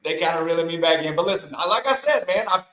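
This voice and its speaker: Man, from the Midwest, hoarse with a cough, profane, excitable, echoey room. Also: fairly high pitch, quick pace. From American Midwest. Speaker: co-host. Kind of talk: casual live-stream chat.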